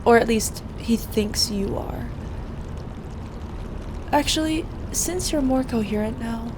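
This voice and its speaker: Casting: actress for frank, caring young woman, slightly high voice, casually coaxing, audio drama with clear background noise. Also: slow. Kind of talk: audio roleplay drama acting.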